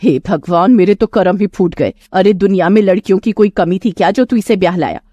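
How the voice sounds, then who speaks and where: A woman's voice: medium pitch, fairly quick, warm tone, no room sound, lo-fi sound, close to an everyday voice. Lady doing a mean older village mother-in-law, scolding, threatening, super melodramatic, storybook villain. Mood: outraged, scornful. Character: cruel, scornful middle-aged stepmother-in-law.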